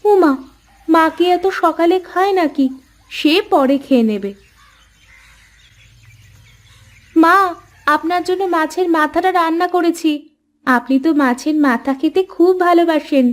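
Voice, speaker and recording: A woman's voice: very high-pitched, moderate pace. A woman voicing a sulky, nagging young village housewife in a cartoon, wheedling and complaining. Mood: fussing, affectionate. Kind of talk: cartoon voice acting in Bengali family story.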